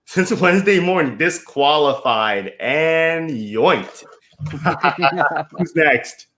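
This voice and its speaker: American guy hosting a silly pitch game on zoom, teasing, voice jumps around a lot. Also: lively intonation, drawn-out words, a bit bright, slight background noise. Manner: teasing, mock-stern questioning. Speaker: game host. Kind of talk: playful party game hosting. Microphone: video call.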